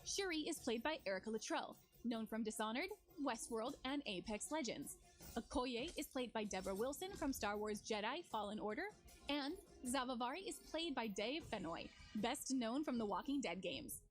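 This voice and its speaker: A woman's voice: fairly high pitch, expressive, normal speed. A woman giving scripted promotional narration as a game developer presenting new features. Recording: music under the voice. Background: music.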